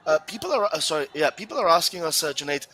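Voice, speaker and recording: A man's voice: a little high, lively intonation, fast, sharp tone, soft background music. A man from Gibraltar doing live play-by-play football commentary, chatty and reading out viewer questions.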